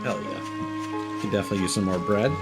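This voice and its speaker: A man's voice: on the low side, steady tone, brisk. A man giving casual video game commentary as a live streamer, full of joking, playful asides. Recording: game music behind the voice. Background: video game music.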